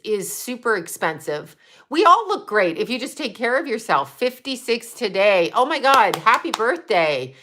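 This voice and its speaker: Bright-voiced blunt woman in her fifties. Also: mid-range voice. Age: 50s. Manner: blunt and profane, trash-talking trolls between chatty tips. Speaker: livestream host. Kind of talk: casual livestream chat answering comments.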